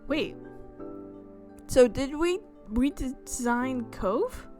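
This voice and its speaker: Slow-paced woman reading a game aloud. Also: sits high, expressive, darkish tone. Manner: chatty, exclamatory asides. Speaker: gaming channel host. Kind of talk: let's-play commentary, reading visual novel narration aloud with casual asides. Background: game music.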